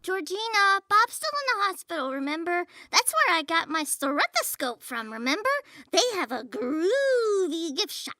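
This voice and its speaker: A woman voicing a childlike, excitable, scatterbrained sidekick in an audio comedy, chirpy in manner. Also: very high-pitched, moderate pace, hardly any pauses, exaggerated voice. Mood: chirpy, rambling.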